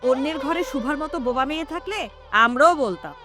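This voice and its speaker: A woman's voice: high-pitched, expressive, fairly quick, music in the background. A woman playing a bitter, reproachful mother who scolds and complains in an audio drama. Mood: reproachful arguing. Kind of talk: Bengali audio drama acting.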